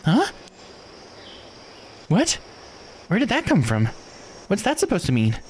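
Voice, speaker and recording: A man's voice: high-pitched, wildly swooping pitch, slow, a little hiss or hum, voice pushed up, heavily acted. A man voicing a meek, apologetic young woman from a visual novel game, with a hesitant, polite manner. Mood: shocked, flustered. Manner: hesitant, polite, self-effacing.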